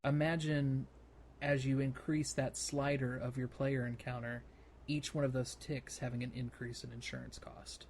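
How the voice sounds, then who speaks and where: A man co-hosting a gaming podcast, discussing things casually and thinking aloud in a measured way. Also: medium pitch, little pitch movement, darkish tone. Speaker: podcast co-host. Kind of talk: casual discussion about a video game. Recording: clear background noise.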